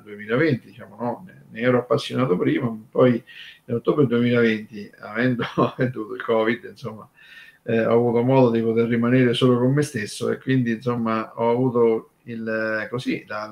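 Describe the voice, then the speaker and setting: A man co-hosting an unscripted radio conversation, talking in a halting, discursive way full of filler tags. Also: medium pitch, vocal fry, lo-fi sound. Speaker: radio show co-host.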